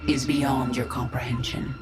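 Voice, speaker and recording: A woman's voice: a bass voice, huge pitch swings, moderate pace, loud music behind the voice, full character voice. A cool, confident swarm queen. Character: powerful reborn alien swarm queen. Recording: roomy echo.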